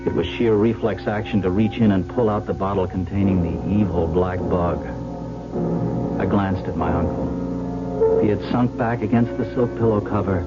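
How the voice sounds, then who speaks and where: A male actor plays a wry young heir with a fairly low voice and a flippant ease. The radio drama recording is slightly muffled, with music behind. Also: steady tone, moderate pace, lightly acted. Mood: narrating. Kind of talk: radio drama acting with first-person narration. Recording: dull old radio recording.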